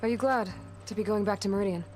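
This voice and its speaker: A woman's voice: medium pitch, quick pace, a little mellow, lightly acted. A woman playing a determined young female adventurer in a video game, speaking in a direct, dry, guarded way. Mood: dry, curious. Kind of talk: scripted video game cutscene dialogue. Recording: music behind the voice.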